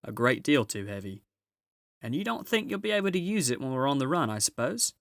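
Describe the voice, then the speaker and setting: A man's voice: medium pitch, very animated, fairly quick, creaky, a bit bright, no room sound, studio quality, voice pushed up. A man voicing a practical, impatient male beaver in a storybook, with blunt, homely urging. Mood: exasperated.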